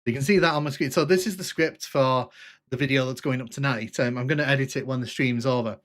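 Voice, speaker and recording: A man with a northern English accent and a slight Liverpool twang, chatting casually and a little haltingly to viewers as he hosts a gaming livestream. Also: mid-range voice, a bit bright. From north of England, UK. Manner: chatty, informal, halting with repeated phrases. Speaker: livestream host, gaming streamer. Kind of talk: casual live stream chat with viewers over gameplay.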